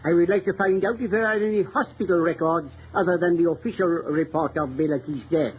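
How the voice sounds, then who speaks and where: An actor playing a determined, obsessive chief of police in an emphatic, theatrical 1930s radio melodrama style. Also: male voice, on the high side, fairly quick, phone-quality sound, natural voice. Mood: grave, insistent. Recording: scratchy old radio recording, thin and noisy.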